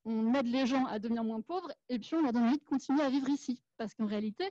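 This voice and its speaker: A woman's voice: a little high, fast talker, mellow, no echo. A woman from the Paris suburbs making an earnest, articulate argument from the audience at a debate. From Paris suburbs, France. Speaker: urban planner and activist in the audience. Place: small crowded café room. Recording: dull, lo-fi event recording.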